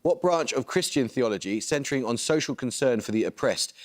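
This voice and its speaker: Measured, formal quiz show host, man. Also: medium pitch, animated. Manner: measured, precise, formal delivery. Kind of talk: scripted quiz presenting. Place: television studio.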